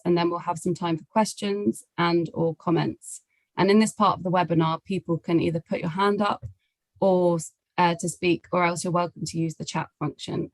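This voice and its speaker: A woman with a slightly low voice hosts an online webinar over a video call. She gives a courteous, prepared introduction, and the sound is dry, with little room in it. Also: dry recording, low-quality recording. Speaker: webinar host. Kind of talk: scripted welcome and introduction.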